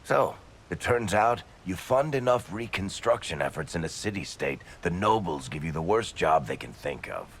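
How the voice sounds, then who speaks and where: A wisecracking dwarf from a fantasy game. The voice is very low and gravelly, swinging freely in pitch as the breezy irreverence and soft heart show through. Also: male voice, expressive, normal speed, few pauses, a little hiss or hum. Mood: wry, breezy. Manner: wry, casual, breezily irreverent. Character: gruff, wisecracking, big-hearted dwarf rogue turned city ruler. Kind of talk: video game voice acting.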